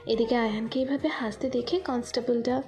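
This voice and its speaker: Fairly high-voiced female story narrator. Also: fairly even intonation, normal speed, darkish tone. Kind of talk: scripted romance story narration.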